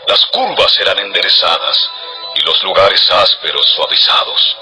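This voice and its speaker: A man's voice: low-pitched, moderate pace, bright, forceful tone, soft background music, low-quality recording. A man playing a solemn herald who proclaims prophecy grandly in a dramatized audio Bible. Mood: solemn, exalted.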